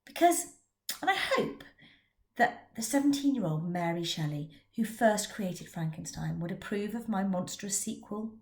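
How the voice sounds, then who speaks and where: A middle-aged woman, an author and teacher from England, giving a prepared talk in an expressive storytelling manner. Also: mid-range voice, unhurried, roomy sound. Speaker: children's author. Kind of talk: scripted talk about her book.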